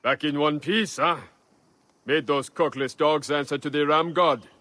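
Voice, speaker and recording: A man's voice: a little high, fairly even intonation, a little slow, pushed and bright. A man playing a crude, boastful village blacksmith in an ancient Egyptian video game scene. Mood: blustering, vengeful. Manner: coarse, salty, blustering. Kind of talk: video game cutscene acting.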